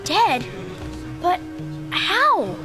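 A very high-voiced anxious believer. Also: female voice, huge pitch swings, very slow, exaggerated voice. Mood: shocked. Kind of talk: dramatized radio play acting. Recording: music behind the voice.

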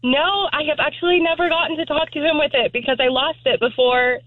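A woman's voice: high voice, steady tone, brisk, no echo. A woman from Texas calling in to a radio show and casually telling an anecdote over a narrow phone line. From Texas, United States. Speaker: radio call-in guest.

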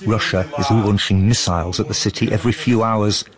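Low-voiced male radio correspondent. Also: fairly even intonation, brisk, a crowd in the background. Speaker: news correspondent. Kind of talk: scripted radio report narration.

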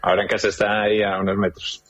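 A man's voice: on the low side, little pitch movement, racing, fairly bright tone, no echo. A man calling in to a radio show, chatting casually and hesitantly, often repeating words. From Spain and Colombia. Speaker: caller. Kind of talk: casual phone-in chat. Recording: muffled lo-fi call line.

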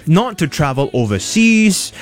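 A Singaporean man hosting a radio show, chatting casually and telling stories with quick asides in a studio. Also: fairly high pitch, big pitch swings, faint music behind. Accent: Singaporean English. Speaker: radio host. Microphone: studio mic.

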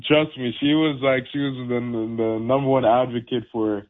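Young boxer on a tinny phone line. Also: male voice, mid-range voice, steady tone, normal speed. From Haiti. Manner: laid-back, many fillers and restarts. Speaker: professional boxer, guest. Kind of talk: casual interview.

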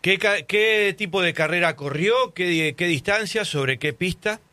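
Male sports interviewer, sharp forceful tone, dry sound, conversational, corrects himself as he goes. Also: high voice, measured pace, no echo. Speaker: interviewer, horse racing show host.

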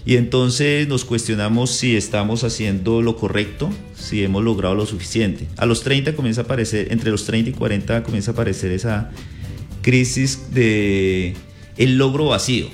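A man speaking as a psychologist guest on a morning radio show, explaining calmly and step by step. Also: lowish voice, normal speed, soft background music. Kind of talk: calm explanatory radio interview.

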